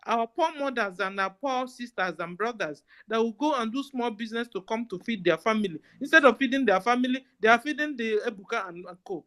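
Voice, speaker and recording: A woman's voice: fairly high pitch, lively intonation, brisk, few pauses, fairly bright tone. A woman speaking passionately in an online audio discussion. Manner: impassioned, accusing.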